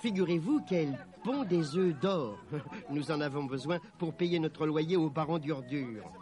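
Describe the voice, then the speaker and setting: A sweet young peasant girl from a storybook, as a man plays her, talks with eager affection. The voice is high and very animated, with music behind it. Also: measured pace. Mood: proud.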